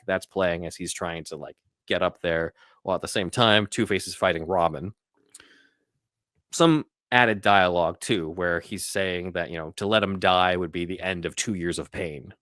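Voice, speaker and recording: A man with a very low voice hosts a casual film podcast. He explains things scene by scene in a halting, conversational manner. The sound is dry and close. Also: fairly bright tone, no echo. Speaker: podcast host.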